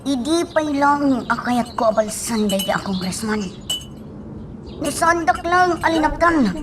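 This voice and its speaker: A woman's voice: high-pitched, animated, measured pace, background noise. A woman playing an indignant, outspoken young villager in a radio drama, speaking with earnest emphasis. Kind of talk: Ilocano radio drama acting.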